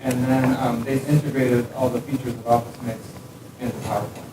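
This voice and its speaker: A man's voice: mid-range voice, little pitch movement, a little fast. A man sharing a point in a casual discussion, full of fillers. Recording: distant, echoey, very noisy.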